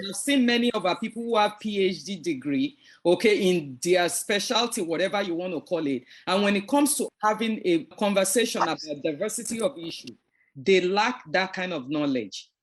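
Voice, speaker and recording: Podcast host, earnest, kinda lecturing, mid pitch. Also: animated, moderate pace. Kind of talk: interview conversation.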